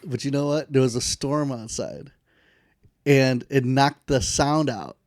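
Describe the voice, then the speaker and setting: A man with a baritone voice co-hosting a podcast, telling stories in casual chat and laughing often. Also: unhurried, no echo, studio quality. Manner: animated storyteller, laughs often. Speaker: podcast co-host.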